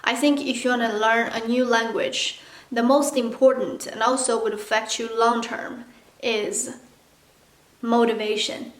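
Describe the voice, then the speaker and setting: A woman's voice: on the high side, little pitch movement, a little slow. Chatty Chinese woman in an echoey room. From China. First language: Mandarin. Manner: chatty and candid, acts out playful asides. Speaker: language-learning vlogger. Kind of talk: casual talk to camera.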